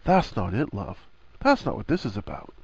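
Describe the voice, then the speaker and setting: A man's voice: fairly low pitch, wildly swooping pitch, moderate pace, creaky, darkish tone, husky, no echo, lo-fi sound, heavily acted. A man voicing a blunt, cheeky, affectionate young husband with slangy talk in a story reading. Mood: firm reassurance.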